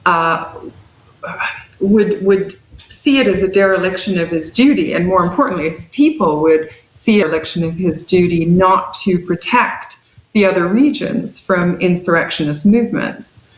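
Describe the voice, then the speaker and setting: A woman professor answers in a lowish voice, direct and articulate. The sound is echoey, as if she were recorded in a bare room. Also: moderate pace. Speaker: professor, guest. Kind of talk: interview answers.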